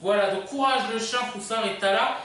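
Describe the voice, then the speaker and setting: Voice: medium pitch, moderate pace, fairly bright tone, slight background noise. A video host presenting a countdown casually and unscripted, rambling and hesitant, often drifting into asides. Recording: echoey room, distant microphone.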